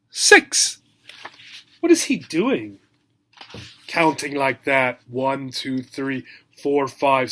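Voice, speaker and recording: Slow-talking man teaching young children. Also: a little high. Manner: animated, simple short phrases, prompting young listeners. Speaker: teacher reading a picture book to young learners. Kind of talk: children's read-aloud lesson, asking questions.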